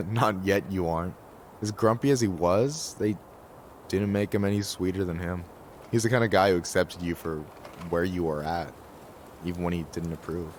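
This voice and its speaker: A man playing a joking, warm-hearted young friend in a video game, bantering in a natural, playful way. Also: deep voice, steady tone, normal speed, lightly acted. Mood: fond reminiscing. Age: young adult. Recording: faint outdoor ambient noise behind.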